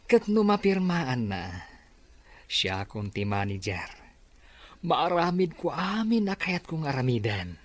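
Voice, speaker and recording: A man's voice: fairly high pitch, big pitch swings, slow, long pauses, no echo. A man with an Ilocano Filipino accent playing a greedy, scheming villain in a radio drama. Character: scheming greedy male villain after the business.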